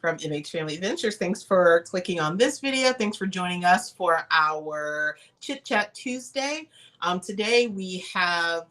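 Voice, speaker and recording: A woman's voice: fairly low pitch, animated, unhurried. Congested, nasal-sounding woman host. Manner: warm greetings to viewers, frequent "um" fillers. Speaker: host and travel advisor. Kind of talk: casual livestream chat.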